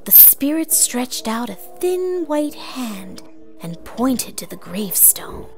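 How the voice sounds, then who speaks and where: A woman playing an excitable storyteller, narrating a storybook read-along with theatrical drama. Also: a little high, takes it slowly, creaky, soft background music, exaggerated voice. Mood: hushed, grave. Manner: theatrical, hushed then exclaiming.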